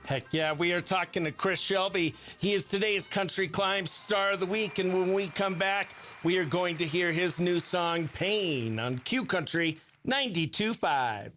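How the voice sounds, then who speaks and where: High, very bright excitable radio man. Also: measured pace, hardly any pauses, soft background music. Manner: excitable, exclamatory, slangy. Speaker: radio morning show host. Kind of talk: casual live radio chat. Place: dry radio studio.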